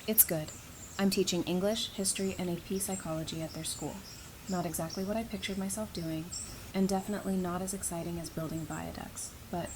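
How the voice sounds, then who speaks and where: A woman playing a wry, quick-witted teacher, speaking casually in an audio drama. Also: fairly low pitch, fairly even intonation, background noise, natural voice. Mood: friendly, hesitant. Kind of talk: fan audio drama dialogue.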